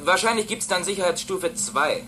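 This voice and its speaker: A man's voice: high voice, sing-song, moderate pace, bright, forceful tone, full character voice. An actor playing a cautious male ground station radio operator in a radio play, speaking in a businesslike, procedural way. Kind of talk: German radio play acting. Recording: noisy radio link in drama.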